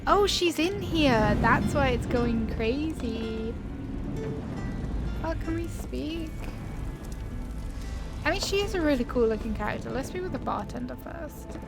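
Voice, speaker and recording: Chatty gamer girl talking over her playthrough, highish voice, game music clearly behind her. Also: expressive, unhurried, pauses often. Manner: chatty, reacting aloud, giggly. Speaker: gaming video host. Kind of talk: casual gameplay commentary.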